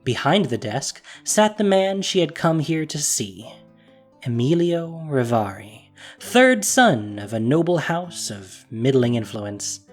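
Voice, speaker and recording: A narrator reading a fantasy audio drama in an expressive storytelling manner. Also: medium pitch, slow, faint music behind.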